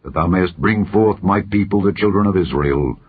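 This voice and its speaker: A man's voice: deep voice, moderate pace, slight background noise, close to an everyday voice. A man reading the part of a majestic, commanding yet compassionate deity in a grand, declamatory scripture style. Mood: solemn compassion. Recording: muffled phone-like narrow-band audio.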